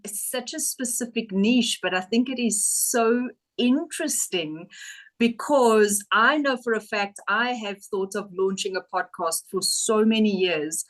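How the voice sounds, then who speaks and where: A woman's voice: mid-range voice, fairly bright tone, low-quality recording. A woman hosts a business interview show over a video call. She sounds enthusiastic and gushing, and her voice sits in a middle range for a woman. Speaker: podcast host. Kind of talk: interview hosting.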